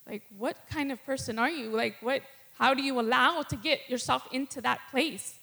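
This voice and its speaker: Middle-aged woman, slightly high voice, guest preacher, candid and a bit nervous. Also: moderate pace, creaky, bright, forceful tone. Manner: nervous, candid, laughs between thoughts. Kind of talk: personal testimony sermon.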